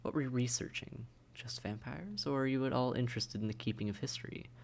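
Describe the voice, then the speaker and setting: A man's voice: mid-range voice, fairly quick, vocal fry, a little hiss or hum, lightly acted. A man playing a suave, condescending vampire in an intimate audio roleplay, speaking to the listener in a polite, wry, teasing and articulate way. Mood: wry, conversational.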